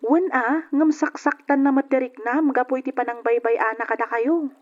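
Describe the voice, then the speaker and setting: Old radio serial, dull recording: woman playing an open-handed, plain-spoken cousin, persuasive, raised pitch. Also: fairly even intonation, normal speed, natural voice. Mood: indignant. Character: generous, outspoken female cousin back from abroad. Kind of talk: old radio drama acting.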